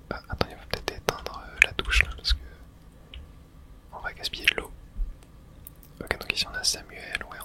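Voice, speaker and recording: A person whispering a breathy, unvoiced ASMR running commentary while playing a video game, in a soft, hesitant murmur. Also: extremely high pitch. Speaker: gaming video host.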